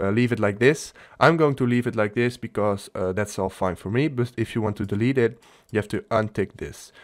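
A man narrating a casual software tutorial as its host, explaining step by step in a halting way. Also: medium pitch.

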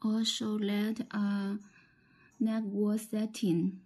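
A woman with a Chinese accent giving a halting, step-by-step instructional product demonstration, often repeating phrases. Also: mid-range voice, little pitch movement, warm tone, breathy edge.

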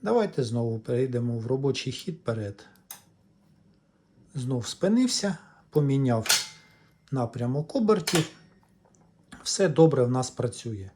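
A man's voice: medium pitch, big pitch swings, unhurried, long pauses, dark tone, slight background noise. A man giving a casual, step-by-step repair demonstration, explaining in a hesitant way. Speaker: electronics repair vlogger.

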